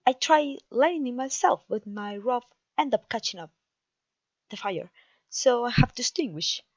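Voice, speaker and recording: A woman's voice: a little high, expressive, normal speed, no echo, muffled recording. A woman with a Mexican accent playing a clumsy, cheerful witch teacher in an ASMR roleplay, theatrical and genteel in her encouragement. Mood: gentle, instructive. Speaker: voice actor.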